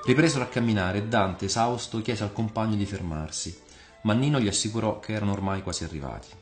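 A man's voice: on the low side, quick pace. A man reading scripted literary narration as a radio storyteller. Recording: reverberant voice over music. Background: soft music.

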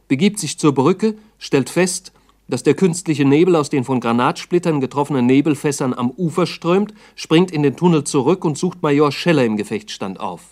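A man's voice: on the high side, darkish tone. A man narrating a 1960s documentary radio drama in a terse, factual reporting manner.

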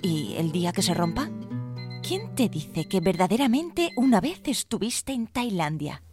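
A woman's voice: mid-range voice, sing-song, a little slow, loud music behind the voice. A woman with an accent from Spain narrating radio fiction, wryly addressing the listener directly. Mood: wry, reflective.

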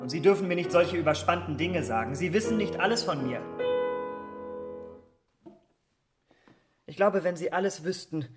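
An actor in a German radio drama playing a troubled, youthful gentleman, speaking with grave, earnest deliberation. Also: sits high, expressive, fast talker, loud music behind the voice. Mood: confessional, uneasy.

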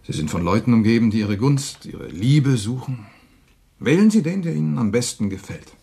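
Devoted, gullible young male lover, radio play. Husky and creaky, halting, tender, sorrowful. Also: mid-range voice, very animated, warm tone, heavily acted. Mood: resigned, tender. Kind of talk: German radio play acting.

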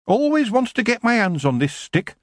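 Man putting on a fussy high-voiced dwarf broom maker. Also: very animated, normal speed, no echo, clean studio sound. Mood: wheedling. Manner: haggling, complaining. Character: fussy, wheedling male dwarf broom maker, middle-aged.

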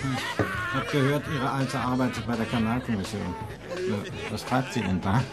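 Radio drama: male actor as foul-mouthed male conspiracy ranter, emphatic and crude, mid-pitched, over music. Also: normal speed, a loud crowd, natural voice. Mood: mocking, boozy. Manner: emphatic, coarse, ranting. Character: foul-mouthed, ranting conspiracy-theorist drinker named Jonah. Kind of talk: German radio play acting. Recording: music behind the voice.

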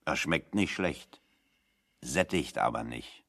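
An actor playing a plain-spoken, unschooled working-class political exile in a radio play, with a folksy, drily humorous manner. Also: male voice, rumbling low, lively intonation, slow pace, vocal fry, a bit bright, exaggerated voice. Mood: folksy, wry.

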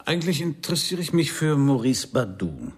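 An actor playing a laconic, courteous Parisian private detective in a radio crime drama, speaking and narrating with a dry, gravelly, husky voice. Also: male voice, mid-range voice, sing-song, moderate pace, no echo. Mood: courteous, probing. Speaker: detective and first-person narrator.

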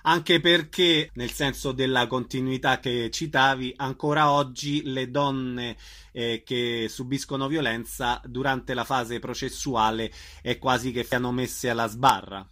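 A man's voice: medium pitch, steady tone, a little slow, sharp tone. A man putting questions and comments to a guest as a conversational interviewer. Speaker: radio interviewer.

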